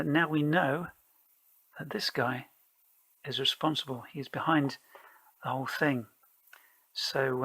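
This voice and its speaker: A man narrates a solo tabletop game at an unhurried pace, improvising as he goes. His voice sits a little high, with a bright edge. He often hesitates and mulls things over aloud, feeling out each option before settling on it. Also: creaky, husky, low-quality recording.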